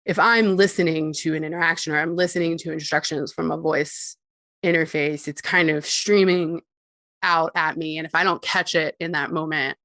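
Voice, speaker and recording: Even-pitched woman explaining thoughtfully. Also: normal speed, dry recording. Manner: thoughtful, articulate, peppered with "like" and small chuckles. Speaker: interview guest, tech company CEO and co-founder. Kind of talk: conversational expert explanation in a podcast interview.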